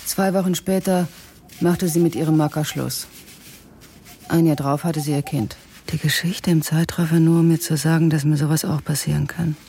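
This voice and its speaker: A woman's voice: sits low, steady tone, moderate pace, dark tone, a little hiss or hum. An actress playing a fierce, yearning woman telling her story in a radio drama. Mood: confiding.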